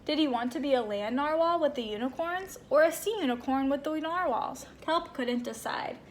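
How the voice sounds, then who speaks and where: High-voiced woman reading a children's storybook. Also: moderate pace, a little hiss or hum. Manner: animated, acts out small moments. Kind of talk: expressive children's read-aloud.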